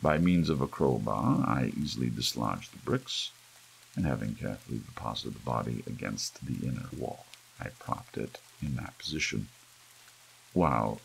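A man with a gravelly, husky voice reads a horror story aloud in a theatrical, brooding ASMR audiobook style, with emphatic swells. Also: extremely low pitch, rough recording. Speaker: audiobook reader. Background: soft rain.

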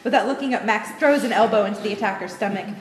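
A woman's voice: mid-range voice, racing, a little hiss or hum. A young woman reading stage directions as scripted narration at an informal table read. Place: indoor lounge with a seated group reading scripts. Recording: echoey room with long reverb.

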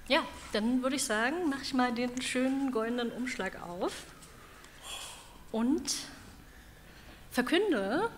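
A woman's voice: fairly high pitch, animated, slow, long pauses, a little hiss or hum. A woman on a theater stage announcing a category winner, earnest and a little hesitant. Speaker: guest presenter at awards.

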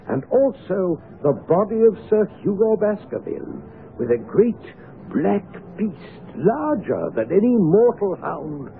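An actor playing an earnest country doctor in a radio drama, with formal, theatrical storytelling. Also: male voice, high-pitched, a little hiss or hum, full character voice. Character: earnest, excitable male country doctor recounting a dreadful legend. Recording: tinny, band-limited radio broadcast recording.

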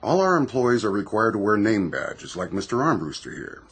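An actor playing a stern, impatient department store manager in a radio drama, formal and authoritative. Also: male voice, on the low side, big pitch swings, normal speed, fairly bright tone, husky, exaggerated voice. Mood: formal, firm.

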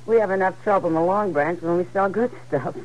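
A woman's voice: on the low side, quick pace, a little mellow, close to an everyday voice. An American actress playing a friendly, worldly frontier saloon woman in a 1950s radio Western. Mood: teasing. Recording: faintly noisy 1950s radio recording.

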